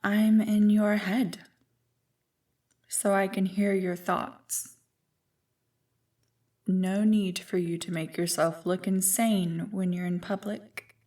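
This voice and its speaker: A woman playing an ancient evil female spirit in an ASMR roleplay, seductive, smug and condescending in a deliberate, teasing manner. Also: medium pitch, level tone, a little mellow, recorded from a distance, natural voice. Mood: imperious, playful.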